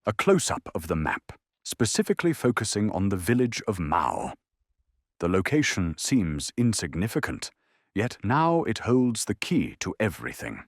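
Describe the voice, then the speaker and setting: A man narrates a scripted drama in a low, husky voice. His intonation is lively and dramatic, rising and falling as he sets each scene. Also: moderate pace, no room sound, clean studio sound.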